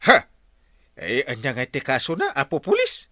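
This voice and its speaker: Worked up ilocano village captain, comedy radio drama, squawky swings, sharp dry sound. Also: male voice, mid-range voice, huge pitch swings, moderate pace, dry recording. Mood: startled, excitable. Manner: exclaiming, stretched words, sudden squawks.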